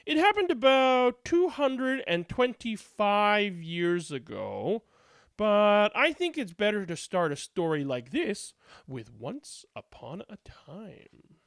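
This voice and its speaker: A woman's voice: medium pitch, big pitch swings, slow pace, no room sound, clean studio sound. A woman reading a children's storybook aloud as narrator, with a dramatic, comic, emphatic delivery.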